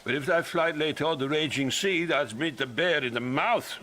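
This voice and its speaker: A man's voice: fairly high pitch, moderate pace, pushed and bright, a little hiss or hum. An actor playing a grief-stricken old king going mad, in theatrical, declamatory Shakespearean audio drama style. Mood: brooding. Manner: theatrical, declamatory, swinging from muttering to roaring.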